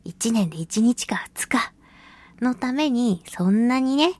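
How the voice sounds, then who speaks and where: A woman's voice: fairly high pitch, animated, slow, a little mellow, husky, no echo. A woman hosting a casual solo radio talk, chatting in a playful manner. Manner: playful, chatty, laughs often. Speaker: radio show host and voice actress.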